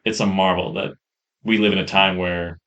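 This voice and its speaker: A man co-hosting a podcast, reviewing games in a casual, enthusiastic ramble on a rough-sounding mic. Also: sits low, steady tone, normal speed, fairly bright tone, lo-fi sound. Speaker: podcast co-host. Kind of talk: casual chat, game review.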